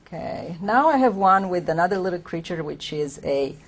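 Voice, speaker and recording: Woman poet, low voice, reflective reading of her own prose poems with halting explanations. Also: lively intonation. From Romania. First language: Romanian. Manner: unhurried, reflective, explains between poems with hesitant asides. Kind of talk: poetry reading with spoken commentary.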